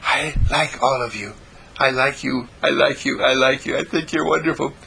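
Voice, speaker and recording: A man's voice: mid-range voice, fairly even intonation, moderate pace, creaky, bright, forceful tone, husky, pitched above the performer's own voice, exaggerated voice. A man voicing a mischievous, affectionate littlest boy of the family, speaking in a stage whisper in a storybook tale.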